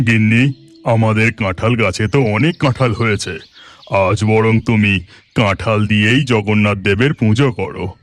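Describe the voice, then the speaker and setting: A man's voice: on the low side, moderate pace, soft background music, lightly acted. A man voicing a mild, helpful village farmer husband in a Bengali cartoon. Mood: helpful, agreeable.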